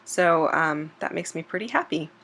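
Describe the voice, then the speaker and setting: A slightly hoarse woman hosting a scrapbooking channel, chatting casually over a craft process video with plenty of ums and self-corrections. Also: low voice, normal speed. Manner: relaxed and chatty, with frequent um fillers and self-corrections. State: slightly hoarse, losing her voice.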